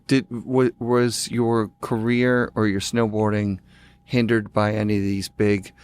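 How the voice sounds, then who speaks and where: A man's voice: lowish voice, little pitch movement, darkish tone, clear, resonant tone, dry recording. A man hosting a podcast, chiming in eagerly and asking loose, friendly questions. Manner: eager, chimes in with quick agreement. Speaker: podcast host. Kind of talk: casual interview chat.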